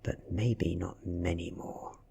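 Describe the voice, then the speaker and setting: A British man voicing an ancient female vampire in a storybook reading, seductive and calculating, with soft breathy coaxing. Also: low voice, steady tone, slow, slight background noise, exaggerated voice. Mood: playful. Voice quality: breathy, whispery.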